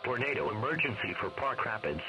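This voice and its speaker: A man's voice: mid-range voice, big pitch swings, brisk, over loud music, rough recording. A man's voice, like text-to-speech, reading a scripted emergency weather bulletin over radio with sound behind it. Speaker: weather radio warning announcer.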